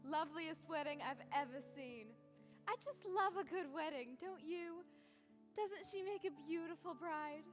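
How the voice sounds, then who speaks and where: Gushing wedding guest, chattery, very high voice, faint music behind. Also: female voice, unhurried.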